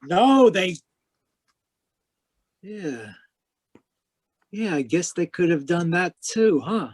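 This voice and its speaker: An actor playing a world-weary, wisecracking private detective in 1940s radio noir style. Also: male voice, high-pitched, expressive, drawn-out words, darkish tone, no room sound, clean studio sound, exaggerated voice. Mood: wry, brooding. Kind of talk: 1940s radio noir acting, first-person narration. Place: home room, live video call performance.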